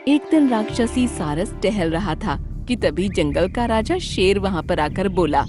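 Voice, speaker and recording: A woman's voice: medium pitch, lively intonation, unhurried, over music. A woman narrating a scripted children's animal cartoon story.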